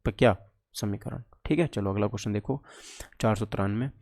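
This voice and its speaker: A man's voice: on the low side, fairly quick, gravelly, a little mellow. A man teaching an exam lesson conversationally, often asking questions and answering them himself. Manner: conversational, asks and answers his own questions. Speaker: physics teacher, exam coach.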